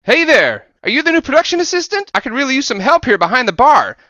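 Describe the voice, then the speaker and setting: A woman's voice: sits high, sing-song, fast talker, sharp tone, dry recording, highs cut off, exaggerated voice. A woman voicing a friendly, harried bar worker in a video game, chatty and eager. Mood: friendly, hurried.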